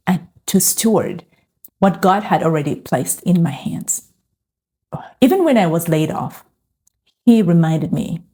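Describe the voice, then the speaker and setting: Calm, low-voiced, slow-speaking woman. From Uganda. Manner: calm, reflective, earnest. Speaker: faith and lifestyle vlogger. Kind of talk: personal testimony to camera.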